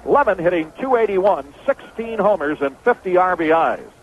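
A man's voice: sits high, lively intonation, normal speed, phone-quality sound. An American man calling baseball play-by-play as a 1970s radio announcer. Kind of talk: 1970s radio sports commentary. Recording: noisy phone-band vintage radio broadcast.

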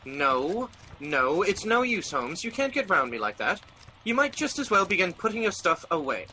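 Man acting fussy exasperated gentleman, radio drama, bright forceful voice, highish pitch, declamatory. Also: big pitch swings, normal speed, slight background noise, heavily acted, rough recording.

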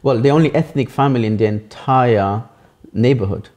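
A young man born in Italy and raised in the UK, a guest telling his story in a relaxed, matter-of-fact way while seated on a sofa in a living room. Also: medium pitch, normal speed. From born in Italy, raised in the UK from age twelve. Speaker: guest, property entrepreneur. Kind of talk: casual interview chat.

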